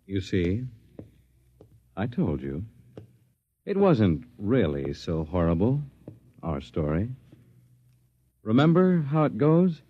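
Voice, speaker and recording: Slow, dramatically swooping male radio announcer. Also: lowish voice, huge pitch swings, no echo. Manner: dramatic, deliberate. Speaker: announcer and narrator. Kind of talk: 1950s radio scripted narration. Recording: dull vintage radio recording with music. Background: music.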